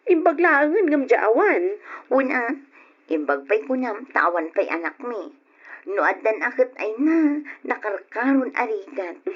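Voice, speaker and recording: Cheerful young ilocano wife in an old radio drama, high voice, muffled sound. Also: female voice, husky, heavily acted. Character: chatty, cheerful young working wife among friends. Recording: dull lo-fi old radio recording.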